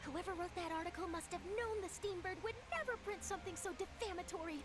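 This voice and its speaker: Eager young reporter girl, super high voice, earnest. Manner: brisk, earnest. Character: eager young female journalist, principled.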